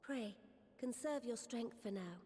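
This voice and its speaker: A woman's voice: on the high side, measured pace, dark tone. An actress playing a dignified, resolute female ruler, speaking formally in a scripted video game scene. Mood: solemn, gracious. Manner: formal, stately, archaic wording. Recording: game audio under clear music.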